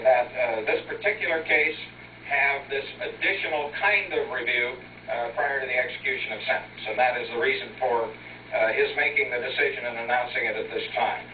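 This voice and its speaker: A man giving a formal briefing as a spokesman, in measured, legalistic and hesitant answers. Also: medium pitch, recorded from a distance. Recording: muffled old tape of TV broadcast, echoey, hissy.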